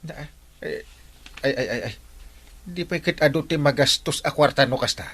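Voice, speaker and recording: An actor with an Ilocano accent playing an exasperated, scolding village captain in a radio comedy drama, excitable and full of exclamations. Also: male voice, on the high side, normal speed, husky. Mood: teasing, surprised.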